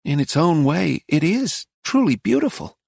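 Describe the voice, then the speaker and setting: Male reader as American woman tourist, gushing, plaintive, raised pitch, dry studio sound. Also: sing-song, moderate pace, vocal fry, darkish tone, husky, dry recording, exaggerated voice. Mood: gushing, wistful. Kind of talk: acted audiobook dialogue.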